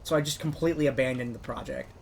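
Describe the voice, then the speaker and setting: A man's voice: fast, vocal fry, a little hiss or hum. A man telling long rambling stories with lots of "like" fillers while he plays a game. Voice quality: describes his own voice as higher than a deep bass beat. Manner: rambling, heavy use of "like" as filler. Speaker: gamer narrating his own playthrough. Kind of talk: casual storytelling commentary while playing.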